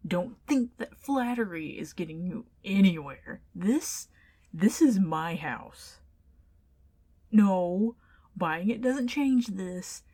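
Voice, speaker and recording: Woman acting a huffy, slow-spoken tsundere ghost. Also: mid-range voice, mellow. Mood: indignant, flustered. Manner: stammering, huffy, defensive. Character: indignant, flustered tsundere ghost woman, over a century old. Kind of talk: ASMR roleplay acting.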